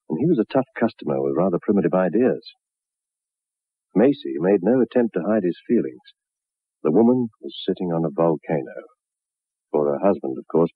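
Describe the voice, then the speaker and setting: Wry, worldly storyteller for vintage radio tale; husky, measured, on a thin old recording. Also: male voice, deep voice, no room sound, heavily acted. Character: worldly, knowing male storyteller. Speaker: narrator. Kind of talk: scripted storytelling in 1960s radio drama. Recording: muffled, narrow-band 1960s radio recording.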